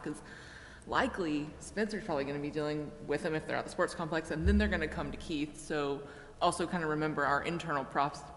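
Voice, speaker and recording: A woman speaking as a city staffer at a council meeting, conversational and a little hesitant in informal remarks. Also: low-pitched. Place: reverberant council chamber. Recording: echoey hall, faint background noise.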